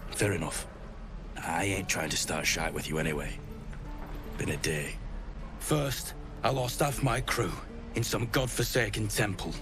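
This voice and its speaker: Gruff british sellsword guy, fantasy game, lowish voice, slangy n cynical, music behind. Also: a little slow, long pauses. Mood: gruff, wry. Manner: rough, slangy, sardonic. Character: gruff, cynical male mercenary rogue.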